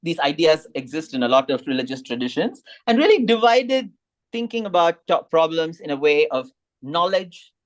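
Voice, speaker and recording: A man's voice: on the high side, sing-song, normal speed. A man whose first language is Tamil, giving a thoughtful slide presentation and rising in emphasis on key points.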